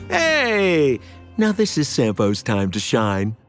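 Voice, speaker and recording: An actor playing a slippery, self-serving merchant rogue in a video game, theatrical and ingratiating. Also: male voice, mid-range voice, huge pitch swings, slow, fairly bright tone, soft background music, exaggerated voice. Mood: smooth, theatrical.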